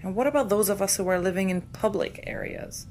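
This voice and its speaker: A woman's voice: a little fast, darkish tone, lightly acted. A woman playing a blunt, sarcastic starship doctor in a science fiction audio drama, talking in a dry, casual way. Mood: sarcastic, irritated. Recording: background noise with low rumble.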